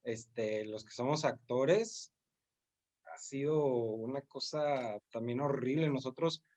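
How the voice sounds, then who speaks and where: A man's voice: medium pitch, slow pace, a little mellow. A young man with a Mexican accent, interviewing a guest in a friendly, casual way and often using the filler "este". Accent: Mexican Spanish. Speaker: interviewer, theater student.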